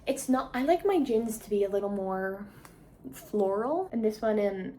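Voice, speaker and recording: A woman's voice: medium pitch, expressive, normal speed, warm tone, a little hiss or hum. A woman in her twenties chatting casually to camera as a travel vlogger, self-mocking and tired at day's end. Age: 20s. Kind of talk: casual vlog chat to camera.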